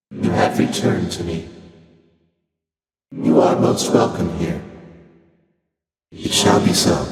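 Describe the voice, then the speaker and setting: An actor playing an ancient, otherworldly primordial deity in a video game, speaking formally and aloofly with dry amusement through a heavy reverb effect. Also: male voice, low-pitched. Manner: formal, archaic, deliberate, aloof phrasing. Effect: heavy otherworldly echo reverb. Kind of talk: video game character voice lines. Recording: cavernous long reverb, loud music behind.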